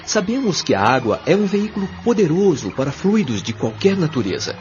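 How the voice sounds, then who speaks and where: A Brazilian man playing a kindly, patient spirit guide in an audio drama, explaining things in a measured, didactic, carefully enunciated way. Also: a little high, sing-song, darkish tone. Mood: solemn, instructive. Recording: dull lo-fi audio, music behind.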